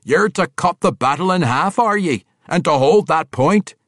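A roaring, boisterous dwarf warrior. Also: male voice, a little high, expressive, moderate pace, a bit bright, dry recording, studio quality, voice pushed up. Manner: roaring, laughing, folksy dialect. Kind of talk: audiobook character voice.

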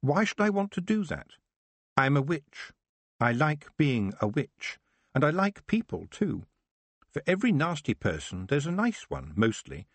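Man reading a calm young witch, practical, reasoning, lively pitch. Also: a little slow, husky, no room sound, exaggerated voice. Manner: calm, plain-spoken, reasoning.

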